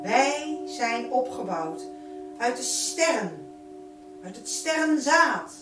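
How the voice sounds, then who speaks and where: A woman's voice: high-pitched, very animated, slow pace, over music. A woman giving a spiritual guided meditation in a solemn, incantatory manner, drawing out and repeating her phrases. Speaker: spiritual teacher leading a light-circle meditation. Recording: roomy, distant microphone, noisy background.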